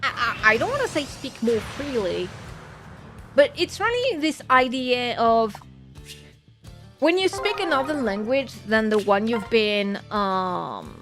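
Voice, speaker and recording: A French-accented woman, English being her second language, talks through her thoughts on a casual gaming stream. Her voice sits a little high, and she takes her time, wandering off and restarting her sentences as she reflects. A soft bed of game music plays underneath. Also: big pitch swings, unhurried. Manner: musing, rambling, self-correcting. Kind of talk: casual gaming livestream chat.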